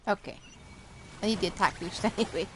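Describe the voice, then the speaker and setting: A woman's voice: mid-range voice, fairly quick, a little hiss or hum. A woman giving casual, playful, rambling live commentary as she streams a game. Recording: game music behind voice. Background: game music.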